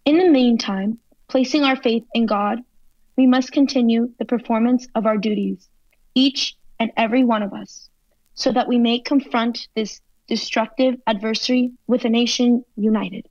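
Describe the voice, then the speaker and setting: Woman as solemn government official, fairly high-voiced. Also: fairly even intonation, a little mellow, no echo, close to an everyday voice. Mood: solemn, resolute. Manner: measured, oratorical. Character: solemn government secretary addressing a nation at war. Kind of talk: formal speech in a radio play. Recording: dull, dry lo-fi recording.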